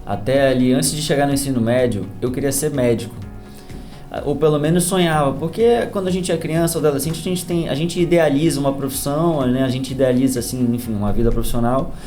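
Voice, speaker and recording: A young Brazilian man answers casually as a podcast guest. His pitch is average, and he explains things by restating his phrases. Faint music plays underneath. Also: fairly quick, warm tone. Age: young adult.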